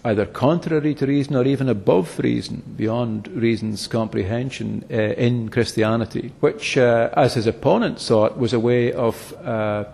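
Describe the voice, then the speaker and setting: A man, a philosophy lecturer, explaining history at length in an interview, halting often to restart and correct himself. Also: medium pitch, gravelly, darkish tone, husky. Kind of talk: explanatory academic interview answers. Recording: long reverb, echoey room.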